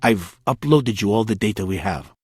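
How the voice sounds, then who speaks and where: Clear-voiced, earnest scientist. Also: male voice, lowish voice, lively intonation, a little mellow, dry recording. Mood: grave briefing. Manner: measured, explanatory briefing. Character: male scientist, earnest, urgent, formal lab researcher. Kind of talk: video game voice acting.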